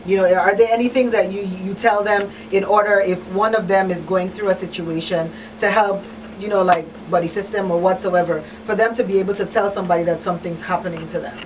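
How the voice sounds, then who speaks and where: A woman's voice: medium pitch, fairly even intonation, fairly quick. A woman hosting a conversational talk show in an emphatic, plain-spoken way. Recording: distant mic, faint background noise.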